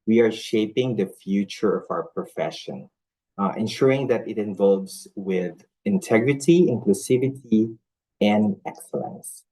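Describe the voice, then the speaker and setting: Filipino-born guy talking at a nursing conference, lowish mellow voice, lots of ums and restarts, earnest. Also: measured pace, rough recording. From Philippines. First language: not English. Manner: earnest, many fillers and restarts. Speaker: conference speaker on nursing mentorship. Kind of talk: conference talk with live audience polls.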